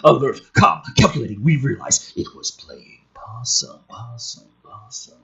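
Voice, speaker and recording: A man's voice: medium pitch, lively intonation, measured pace, vocal fry, pushed and bright, breathy edge. A man doing a dramatic script reading with sarcastic, sweary commentary, theatrical and exasperated in delivery. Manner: theatrical, exasperated, foul-mouthed. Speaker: host reading a film script draft with commentary.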